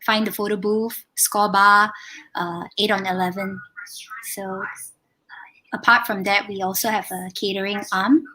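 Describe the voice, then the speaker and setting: A woman from Singapore speaks as a guest on a panel about her restaurants. Her voice is bright and forward, and she speaks in a measured way, full of filler pauses. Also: mid-range voice, level tone, rough recording. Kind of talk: panel discussion.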